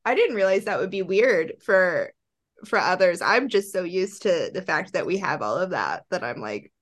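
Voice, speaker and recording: A woman's voice: on the low side, lively intonation, moderate pace. A woman chatting casually as the co-host of an interview podcast. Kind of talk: casual chat.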